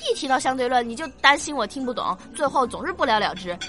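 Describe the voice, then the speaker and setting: A precocious little girl with a high, very lively voice. She is curious and stubborn, arguing earnestly like a small scholar in a sci-fi radio drama, over soft music. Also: fast talker. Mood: doubtful, protesting. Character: curious, argumentative young daughter, precocious and stubborn. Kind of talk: science-fiction radio drama acting.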